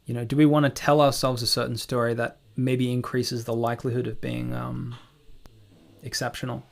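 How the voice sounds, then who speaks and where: A man from South Africa speaks in a reflective, conversational way, as in a podcast discussion. His pitch sits in the middle range, and he reasons aloud philosophically, often turning thoughts into questions. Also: little pitch movement, moderate pace, vocal fry.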